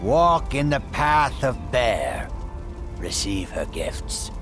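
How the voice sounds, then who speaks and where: A man's voice: medium pitch, big pitch swings, slow, over music. An actor playing a solemn male shaman in a video game, chanting his words in a ceremonial, dramatic manner. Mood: grave, ritual. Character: solemn male shaman invoking a bear spirit.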